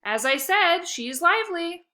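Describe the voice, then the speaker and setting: A woman voicing a brisk lady who dotes on her pet in a children's storybook. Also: very high voice, sing-song, sharp tone, pitched above the performer's own voice, full character voice. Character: brisk, doting lady owner of a poodle.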